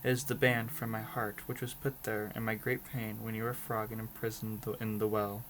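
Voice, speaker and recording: A man's voice: fairly low pitch, level tone, fairly quick, fairly bright tone, slight background noise, pitched below the performer's own voice, rough recording. A man voicing a devoted, earnest servant in a storybook read-aloud, deferential to his master.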